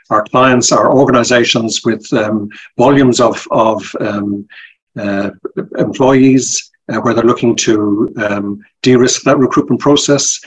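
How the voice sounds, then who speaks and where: A man's voice: medium pitch, husky, poor audio. A middle-aged man with a Dublin Irish accent, chatting as an interview guest in a rambling, hesitant way full of ums and restarts. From Ireland. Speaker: guest, company director. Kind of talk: casual interview chat.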